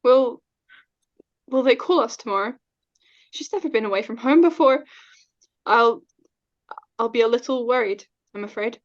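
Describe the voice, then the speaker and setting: Woman playing middle-aged wife, high voice, calm, motherly, reassuring, old radio drama style, slightly muffled sound. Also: moderate pace, pauses often, lightly acted. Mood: worried. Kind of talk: old-time American radio drama acting. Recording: dull, muffled online recording.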